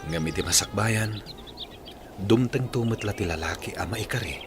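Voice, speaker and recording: Deep-voiced earnest young man, acted by a man. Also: normal speed, music in the background, close to an everyday voice. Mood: gentle, consoling. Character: earnest young man Daniel, gently consoling a heartbroken woman. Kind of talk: radio drama acting.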